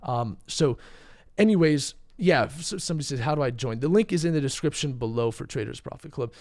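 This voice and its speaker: A young man hosting a live crypto trading stream, a little hoarse and under the weather, talking casually and answering chat questions. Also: mid-range voice, lively intonation, brisk, a little mellow. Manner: casual, chatty, uses fillers, reads chat questions. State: losing his voice, a bit sick. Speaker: crypto trading channel host. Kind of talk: live stream market commentary.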